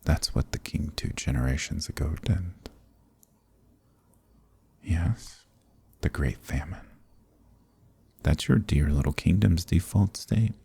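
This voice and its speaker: A man playing an ancient, smug, dominant archdemon in a dark fantasy ASMR roleplay, speaking slowly and deliberately with a condescending, commanding manner. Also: lively intonation, exaggerated voice. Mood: condescending, menacing. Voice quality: very deep, gravelly, husky, breathy.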